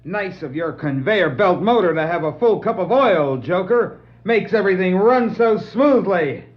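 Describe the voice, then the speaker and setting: High-voiced eager boy sidekick. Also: expressive, moderate pace. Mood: cheeky. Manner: exclamatory, wisecracking. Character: eager, cheeky teenage boy sidekick hero. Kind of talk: 1960s radio-play superhero acting. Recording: dull 1960s vinyl record dramatization.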